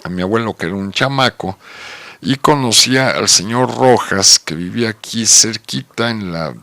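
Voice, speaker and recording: Middle-aged Mexican man, lowish forceful voice, casual anecdotal radio guest, close dry sound. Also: moderate pace, vocal fry, no room sound.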